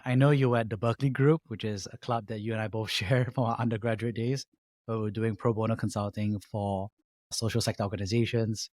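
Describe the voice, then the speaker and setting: A man's voice: lowish voice, steady tone, fairly quick, a little mellow, dry recording. A man hosting a podcast interview, asking upbeat, conversational questions. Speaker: podcast host. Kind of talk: podcast interview and scripted intro.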